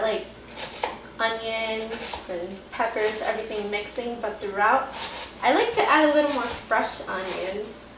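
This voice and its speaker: A bubbly young woman in her twenties from Miami, giving a chatty, giggly cooking demo in a home kitchen. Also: on the high side. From Miami, Florida. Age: 20s. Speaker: cooking show contestant. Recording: echoey room, distant mic, noisy.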